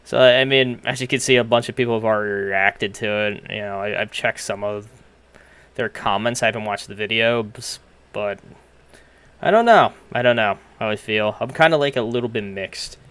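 A man's voice: fairly low pitch, moderate pace, a bit bright, smooth. A young man doing casual, sweary, off-the-cuff reaction commentary with a hesitant, informal delivery. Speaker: reaction streamer. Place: small room at home. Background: game cutscene music.

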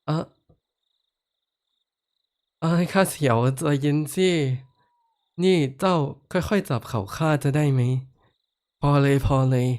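A man voicing a kindly horned giant lord who speaks softly, comforts the listener, and teases playfully in a roleplay audio drama. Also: fairly high pitch, slow, long pauses. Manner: soft-spoken, comforting, playfully teasing. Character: kindly male giant lord with horns, gentle and teasing.

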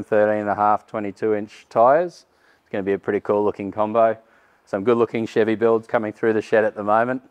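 A man, giving a casual walkaround tour of a vehicle workshop with frequent fillers. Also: fairly low pitch. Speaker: workshop host. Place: large vehicle workshop indoors.

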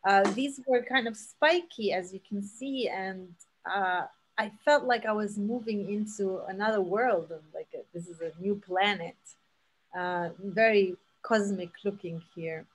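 A woman's voice: mid-range voice, rough recording. A woman in her thirties from Palestine, giving an earnest storytelling talk over a video call from her home, full of fillers and restarts. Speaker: seed saver giving a talk. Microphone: laptop webcam mic on a video call.

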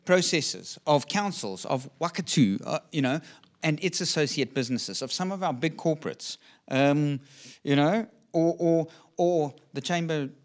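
A middle-aged man giving a short prepared talk from notes on an indoor stage, speaking earnestly into a handheld microphone with frequent ums and restarts. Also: on the high side. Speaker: community speaker. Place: indoor stage with dark curtain.

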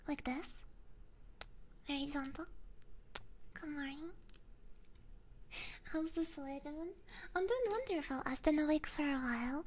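A woman hosting a live ASMR stream, chatting casually in a soft, mostly whispered voice close to the microphone. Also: high-pitched, a little slow, long pauses, a little hiss or hum. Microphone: close binaural ASMR microphone.

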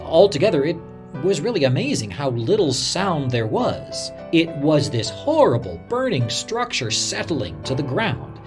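A man's voice: fairly high pitch, sing-song, measured pace, over music. A man playing an earnest radio reporter, dramatically recalling a disaster he witnessed, in a first-person story. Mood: somber. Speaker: narrator of a radio reporter's memories.

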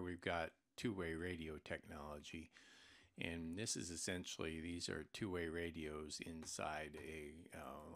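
A man giving an informal, unscripted product walkthrough as a seller's presenter, explaining in a halting way with pauses mid-sentence. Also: low voice, a bit bright. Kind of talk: unscripted product walkthrough over screen recording.

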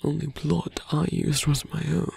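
Guy doing a seductive fantasy villain for asmr, super gravelly n breathy, murmuring close up, kinda sardonic. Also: on the high side, fairly even intonation, darkish tone, dry recording, heavily acted. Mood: confiding, bitter. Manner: intimate, slow, breathy murmuring. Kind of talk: ASMR roleplay voice acting. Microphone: close microphone, dry.

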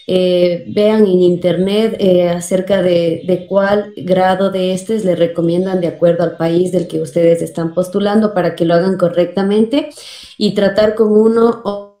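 A woman's voice: lowish voice, fairly even intonation, unhurried, dark tone. A young woman with an Ecuadorian accent, explaining things as a guest in a video-call interview. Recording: roomy, echoing video call.

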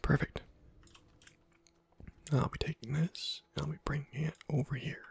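A man whispering ASMR game commentary close to the mic, breathy and muttering dryly to himself. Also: fairly high pitch, fairly even intonation, normal speed. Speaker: gaming video creator.

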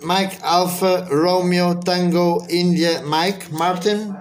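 A man's voice: high-pitched, fairly even intonation, slow. A man with a Portuguese accent, speaking English as a second language, making a radio contact and spelling things out phonetically. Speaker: amateur radio operator.

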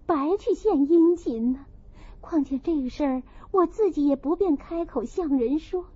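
A woman's voice: high-pitched, dark tone, muffled recording, voice pushed up. A woman voicing a loyal, earnest young maid, kind but admonishing, in an audiobook.

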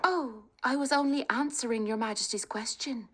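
Woman doing a young peasant girl, clever, persuasive, higher voice, storybook. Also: normal speed. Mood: coaxing. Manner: lively, persuasive, coaxing.

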